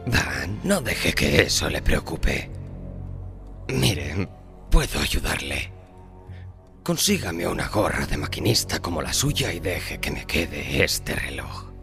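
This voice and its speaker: An actor playing a sly, calmly defiant man who bargained with the devil, in a horror audio drama. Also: rumbling low, takes it slowly, creaky, full character voice. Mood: sly, content. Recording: faint music behind the voice.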